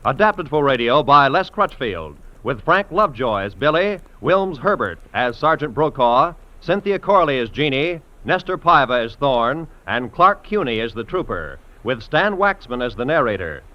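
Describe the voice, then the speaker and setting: A man's voice: mid-range voice, sing-song, normal speed, hardly any pauses. A man announcing credits in the style of a 1950s radio show. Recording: muffled old radio recording.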